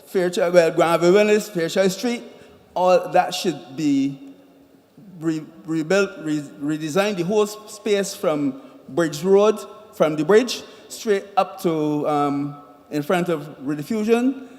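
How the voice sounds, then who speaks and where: A man speaking at a public consultation, slow and halting as he restarts his words. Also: on the high side, darkish tone. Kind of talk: public comment at a town hall. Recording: echoey hall.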